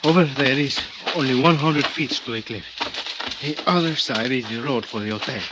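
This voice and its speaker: An actor playing an eager Mexican hotel worker who speaks broken English with Spanish words, in a 1950s radio drama. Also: male voice, mid-range voice, moderate pace, lightly acted. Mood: urgent. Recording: noisy old radio recording.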